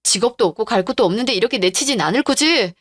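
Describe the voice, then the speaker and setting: A woman's voice: on the high side, expressive, quick pace, no room sound. A woman voicing a whining, pleading husband. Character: weak, pleading middle-aged cheating husband. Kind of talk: radio drama acting. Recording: dry studio voice-over with faint music.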